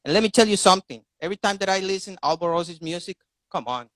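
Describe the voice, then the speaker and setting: A man's voice: high-pitched, lively intonation, fast, a bit bright, no room sound, rough recording. A man from Rica, hosting a casual live video chat in English and often repeating words as he searches for them. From Costa Rica. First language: Spanish. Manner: rambling, repeats words while finding them. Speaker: live stream host.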